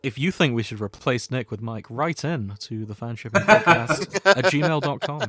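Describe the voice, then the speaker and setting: A man's voice: medium pitch, expressive, moderate pace, crowd noise. A man hosting a casual podcast, joking and teasing with his friends. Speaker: podcast host.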